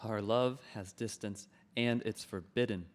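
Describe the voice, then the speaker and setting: A man reading the part of a lovesick monkey king at a play's table read, slowly chanting and singing his verses. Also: fairly low pitch, steady tone, close to an everyday voice. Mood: longing. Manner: slow, chanting sung refrains. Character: lovesick monkey king, male adult, brooding. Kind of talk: table read of a stage play, with sung verses.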